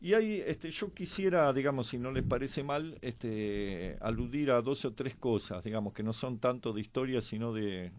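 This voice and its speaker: A man with an Argentine accent giving conversational historical commentary as a panelist, reflective and halting, often pausing mid-thought. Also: mid-range voice, expressive, a little mellow. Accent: Argentine Spanish. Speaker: panelist on a history and politics programme.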